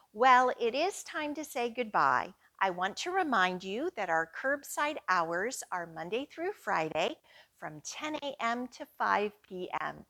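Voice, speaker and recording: A woman hosting a children's storytime as a librarian, reading aloud in a sing-song, deliberate way for young listeners. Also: a little high, sharp tone.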